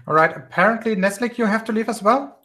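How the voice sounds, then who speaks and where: A man's voice: sits high, fast talker, bright, forceful tone. A man hosting a casual online voice chat, curious and matter-of-fact. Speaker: host.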